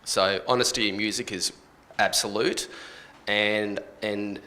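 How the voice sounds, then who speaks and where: Male panellist, lowish voice with a forceful edge, emphatic unscripted advice. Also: steady tone, unhurried. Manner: emphatic, repeats key phrases. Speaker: music industry panellist.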